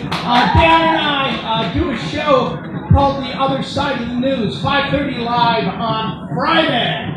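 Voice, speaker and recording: High-voiced man, slow, with heavy echo. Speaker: radio show host introducing speakers. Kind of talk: stage announcement. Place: outdoor festival stage. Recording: echoey PA from far off, loud music behind. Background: music.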